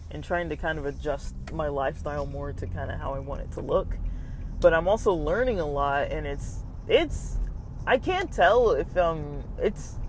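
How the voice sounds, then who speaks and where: A young man talking casually in a personal vlog, hesitant and rambling with many fillers, his throat slightly scratchy. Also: a little high. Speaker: vlogger.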